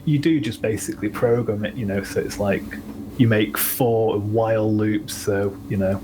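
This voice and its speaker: A low-pitched man with a Northern English accent from Manchester, chatting as a podcast guest. He talks in a loose, meandering way, full of ums and you-knows. Steady background noise sits under the voice. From England. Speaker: guest musician. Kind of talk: casual podcast conversation.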